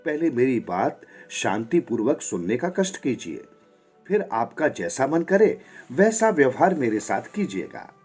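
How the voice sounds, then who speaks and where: Sly storybook tomcat, male narrator: soft, humble flattery, lilting and animated, music bed underneath. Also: mid-range voice, measured pace. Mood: humble, wheedling. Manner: soft, smooth-talking, deferential. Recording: music behind the voice.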